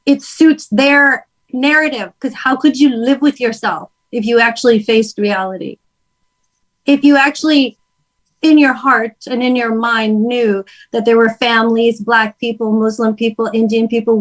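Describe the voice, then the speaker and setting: A woman's voice: fairly high pitch, rough recording. A woman with a Canadian accent chatting casually and giving her opinions in a blunt, emphatic way. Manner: blunt, emphatic, mildly profane. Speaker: guest on a livestream talk show. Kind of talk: opinionated casual political chat.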